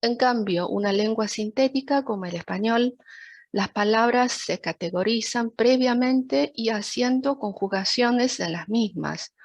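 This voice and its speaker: A woman's voice: on the high side, a little slow, no room sound. Formal woman lecturer, native Chinese speaker. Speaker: interpreter giving a talk. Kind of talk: prepared lecture, read from a script.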